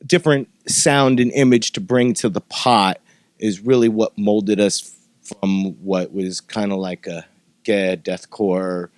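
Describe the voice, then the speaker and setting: A man's voice: lowish voice, steady tone, slow pace, no room sound. A man talking informally and with profanity as he hosts a casual podcast chat. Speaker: podcast host and former metal band member.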